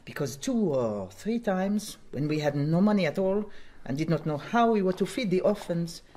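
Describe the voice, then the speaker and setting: An actress playing a gracious, gently reproving French Mother Superior in a radio drama, speaking formally and with measured courtesy. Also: on the low side, animated, a little hiss or hum, lightly acted. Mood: earnest, courteous.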